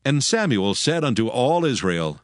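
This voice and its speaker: Male scripture narrator, animated intonation. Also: medium pitch, normal speed, no room sound, clean studio sound. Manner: formal, solemn storytelling. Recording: music bed behind the voice.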